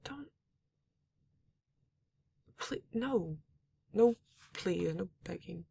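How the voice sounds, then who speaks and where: A performer voicing a grumpy, reluctant werewolf in an ASMR roleplay, exasperated and halting, with a husky, gravelly voice. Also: medium pitch, expressive, mellow, heavily acted. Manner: exasperated, sighing, swearing, halting delivery. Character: grumpy, reluctant werewolf, guarded but not cruel. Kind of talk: ASMR roleplay audio drama, intimate monologue.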